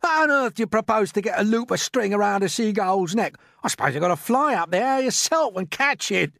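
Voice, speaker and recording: A man's voice: high-pitched, expressive, fairly quick, vocal fry, fairly bright tone, dry recording, clean studio sound, pitched above the performer's own voice, heavily acted. A man voicing a panicky, stubborn, indignant earthworm in a children's story, exclaiming in protest. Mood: scornful.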